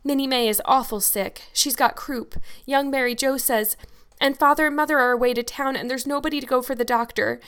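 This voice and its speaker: A woman's voice: high voice, steady tone, brisk, a bit bright, clean tone, pitched above the performer's own voice. A woman voicing a frightened young country girl, anxious and pleading, in an audiobook. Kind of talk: audiobook character dialogue.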